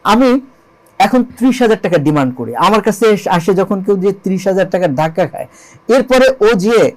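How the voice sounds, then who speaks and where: Male interview guest, Bangladeshi accent, high register, earnest and emphatic storyteller. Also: expressive, normal speed. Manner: emphatic, uses rhetorical questions and repetition. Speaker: interview guest, songwriter and composer.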